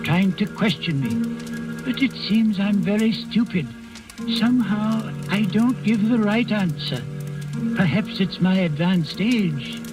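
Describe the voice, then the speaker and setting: An actor playing a rambling, sly old Danish servant who feigns senility, in 1940s radio drama style. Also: male voice, sits high, low-quality recording. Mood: confiding, wry. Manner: rambling, deferential, theatrical. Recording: old radio recording with music behind.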